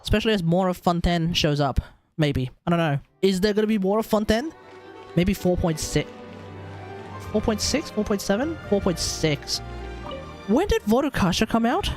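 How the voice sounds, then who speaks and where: A man's voice: high voice, very animated, moderate pace, pauses often, soft background music. An Australian man giving casual, rambling game commentary on a livestream, often talking to himself.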